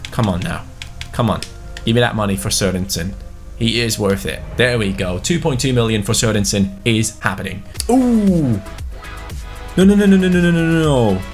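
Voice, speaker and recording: A young man giving casual, excitable commentary on a football video game, swinging into panicked cries when things go wrong. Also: mid-range voice. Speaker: gaming YouTuber.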